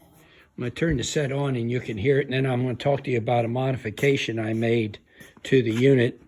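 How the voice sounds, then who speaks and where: A man giving an informal, unscripted demonstration as a hobbyist, explaining haltingly and hesitantly with trailing phrases. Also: mid-range voice, little pitch movement, darkish tone. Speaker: radio restoration hobbyist demonstrating a restored set.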